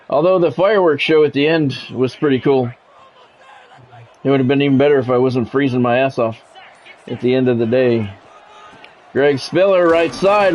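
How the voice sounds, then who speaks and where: A man's voice: mid-range voice, darkish tone, a little hiss or hum, low-quality recording. A middle-aged American man with a blunt, grumbling manner, switching between casual profane chat and sports play-by-play on a livestream. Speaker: game streamer and sports play-by-play announcer.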